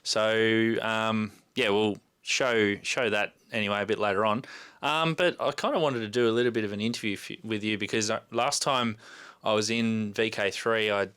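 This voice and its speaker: A man's voice: on the low side, steady tone, moderate pace, a bit bright, smooth. A man with an Australian accent hosting a casual interview as a chatty interviewer. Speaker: host, interviewer.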